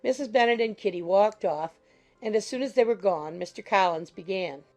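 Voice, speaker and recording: Woman reading an audiobook, creaky mid voice, literary narration, bit of music under it. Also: medium pitch, normal speed, darkish tone. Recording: faint music behind the voice.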